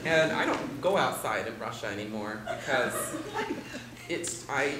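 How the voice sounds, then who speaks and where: A man's voice: medium pitch, expressive, measured pace. A young man rambling through an absurd improvised story, stifling laughs as he goes. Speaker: improv player. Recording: echoey room with loud noise.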